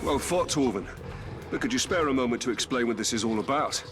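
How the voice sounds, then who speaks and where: A man's voice: medium pitch, brisk, natural voice. A man playing a grim, resolute human ranger in a video game cutscene, earnest and commanding, with music under his voice. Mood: concerned, dry.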